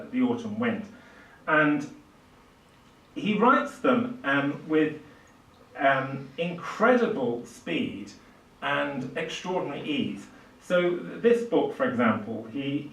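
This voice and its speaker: An older man giving a public literature lecture, speaking haltingly with emphatic swells. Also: mid-range voice. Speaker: lecturer and author introducing a book. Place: small indoor lecture room at a podium. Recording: distant, echoey small lecture room.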